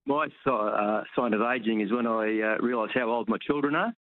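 A man calling a radio show, speaking hesitantly with many pauses and fillers. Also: lowish voice, dry recording. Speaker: caller. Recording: tinny phone line.

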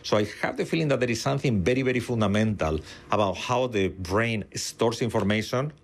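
A man's voice: fairly low pitch, fairly quick. A middle-aged man, a native Spanish speaker with a Spanish accent, explaining things as an interviewed neuroscientist in an opinionated way, with everyday analogies.

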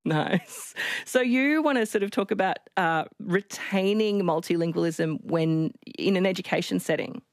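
Woman, Australian accent, radio host, slightly low pitch, dry close mic. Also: moderate pace, few pauses, no echo. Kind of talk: talkback radio hosting.

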